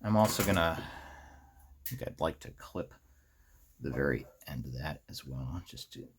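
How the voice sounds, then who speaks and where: An American man giving a casual, unscripted hands-on tutorial, thinking aloud in a halting, rambling way as he works. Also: extremely low pitch, lively intonation, slightly rough. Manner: halting, rambling, talks to himself while working. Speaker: host of a hobby electronics how-to series.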